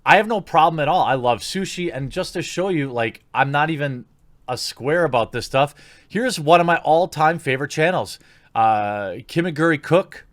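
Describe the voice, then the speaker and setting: A man's voice: fairly high pitch, expressive, normal speed, no echo. A man giving casual, opinionated online commentary in a critical, sarcastic manner, recorded on a close mic. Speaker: YouTube commentator.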